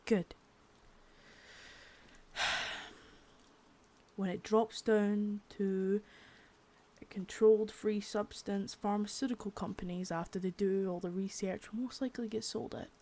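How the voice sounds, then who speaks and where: Young woman playing a contemptuous female drug-ring boss, quiet menace, cursing, part-whispered; medium pitch. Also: steady tone, slow, pauses often. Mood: cold contempt. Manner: menacing, swearing, soft with bits of whisper. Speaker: ASMR creator. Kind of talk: unscripted ASMR roleplay.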